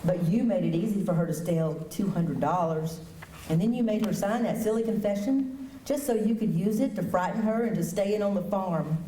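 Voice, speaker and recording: A woman playing Miss Kitty, mellow but firm. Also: mid-range voice, slight background noise, close to an everyday voice. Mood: reproachful. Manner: pointed, reproachful, measured storytelling. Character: firm, protective frontier woman confronting wrongdoer. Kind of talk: radio drama acting. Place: school auditorium stage. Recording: echoey auditorium, distant mic.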